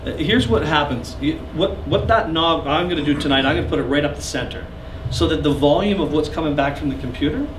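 A man's voice: fairly high pitch, fast, few pauses. Guy in his 30s teaching a class, chatty and joking, sounds far off in a roomy space with hum behind. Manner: chatty, joking with the audience, gesturing while explaining. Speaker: recording clinic instructor. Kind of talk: live classroom workshop. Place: small classroom with seated audience. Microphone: camera at the back of the room. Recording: echoey room heard from far off, noisy.

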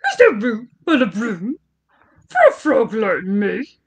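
A woman puts on a little storybook frog, green and eager. It asks politely in a croaking voice that swings about in pitch. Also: huge pitch swings, takes it slowly, heavily acted.

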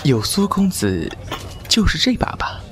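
A man's voice: on the high side, wildly swooping pitch, measured pace, fairly bright tone, a little hiss or hum, heavily acted. A flustered young nobleman. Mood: courteous. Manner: courteous, flustered when pressed. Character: earnest young nobleman Mu Fuyi, polite and easily flustered. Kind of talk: Chinese audio drama acting. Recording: over background music.

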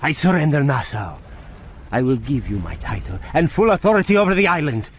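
Fawning cowardly governor in a pirate game, highish voice jumping all over, theatrical, faint noise behind. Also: male voice, sing-song, a little fast. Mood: pleading. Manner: theatrical, pleading. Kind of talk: video game cutscene acting.

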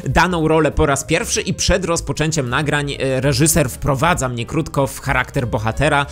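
A man's voice: a little high, normal speed, a bit bright. A man in his thirties giving explanatory commentary as a host, speaking close to a studio microphone. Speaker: YouTube host and voice actor. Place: small indoor home studio. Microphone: close studio microphone with pop filter. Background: background music.

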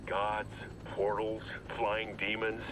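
Stoic game swordsman, very low voice, grave measured delivery, clear vehicle noise behind. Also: completely flat delivery. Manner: measured, solemn. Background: vehicle rumble.

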